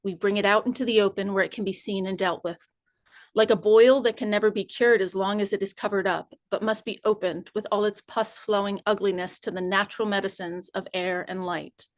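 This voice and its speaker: A woman from the community reading a written text aloud in a measured, deliberate, formal recitation. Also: mid-range voice, steady tone, no room sound.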